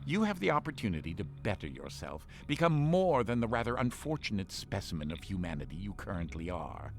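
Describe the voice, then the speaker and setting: Theatrical, snide, creaky AI companion. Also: male voice, low-pitched, sing-song, brisk, full character voice. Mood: condescending. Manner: pompous, grandiloquent, sarcastic. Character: snide, condescending male artificial intelligence ship companion, theatrically disdainful. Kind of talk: scripted game voice-pack acting.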